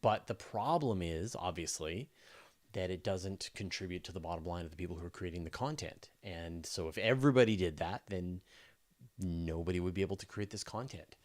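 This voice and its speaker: A man's voice: deep voice, fairly quick. A man hosting a casual live question and answer session, talking informally and thinking aloud in broken phrases. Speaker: host of a space news channel.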